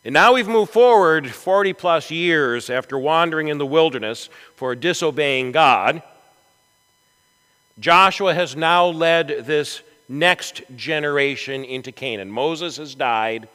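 A man preaching a storytelling sermon in a church, with a dramatic, deliberate delivery and emphatic swells. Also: on the high side, sharp tone. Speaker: pastor preaching. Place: large church sanctuary, from the chancel. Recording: echoey church hall with long reverb.

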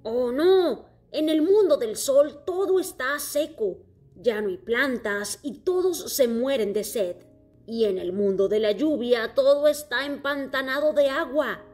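A woman doing a slow, earnest storybook king. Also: sits high, lively intonation, darkish tone, soft background music, pitched above the performer's own voice. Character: wise worried male king from another planet. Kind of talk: storybook character voice.

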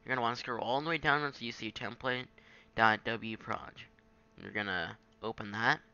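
A man giving an informal, halting software tutorial, thinking aloud as he goes. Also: mid-range voice, creaky, smooth, dull audio. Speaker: tutorial presenter. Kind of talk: casual screen-capture software tutorial.

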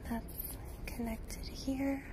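Young woman doing asmr drawing tutorial, soft hushed kinda high voice, pencil scratches and clear background noise. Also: flat delivery. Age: young adult. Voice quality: soft, hushed, sometimes slipping into whisper. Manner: unhurried, informal, thinking aloud while drawing. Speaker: illustrator and paleontology student demonstrating drawing. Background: pencil and paper sounds, room noise.

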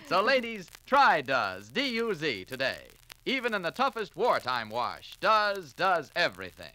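1940s radio announcer, bright lively man, jovial salesman patter. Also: a little high, a little slow, dry recording.

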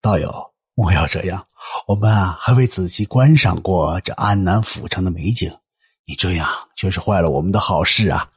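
A man voicing a gentle, courteous, thoughtful Taoist cultivator in an audio drama, speaking formally and deliberately. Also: low-pitched, slightly rough, dry recording, studio quality, exaggerated voice. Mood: polite.

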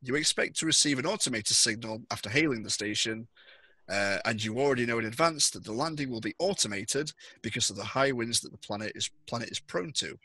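A young man acts as game master for a tabletop roleplaying session. His voice sits at a middle pitch with a bright edge and a rough, husky grain. The sound is dry, with very little room. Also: expressive, brisk, no room sound. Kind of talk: narrating a tabletop roleplaying scenario. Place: indoor room on a video call.

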